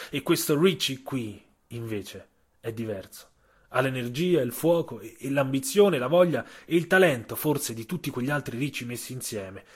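Husky male storyteller with dramatic pauses. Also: mid-range voice. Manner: measured, dramatic pauses and emphasis. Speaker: writer and narrator of an audio story. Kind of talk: literary scripted narration for radio.

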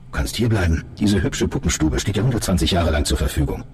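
A level-headed police colleague in a German radio drama. His voice is deep and he moves briskly through his explanations in standard German. He stays direct and reassuring, over faint background noise. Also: expressive, darkish tone. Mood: earnest, persuasive. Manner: measured wording, reassuring, direct. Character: calm, matter-of-fact male colleague patiently explaining hard news.

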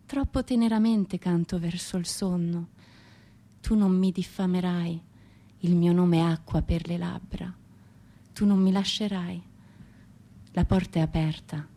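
An actress playing an anguished, defiant Russian woman poet, lonely and destitute, in a theatrical radio monologue with dramatic, declamatory phrasing and deliberate pauses. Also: fairly low pitch, mellow.

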